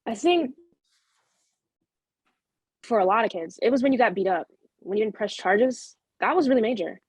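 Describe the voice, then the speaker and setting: An actress playing an earnest high school student in a dramatic table read. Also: female voice, expressive, normal speed, a little mellow, husky, no room sound.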